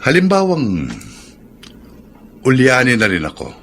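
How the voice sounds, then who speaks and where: A man playing an earnest middle-aged father and dutiful son, speaking in a measured way in a Filipino audio drama. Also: medium pitch, wildly swooping pitch, a bit bright, heavily acted. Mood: serious. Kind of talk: Filipino radio-style drama acting. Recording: music under the voice.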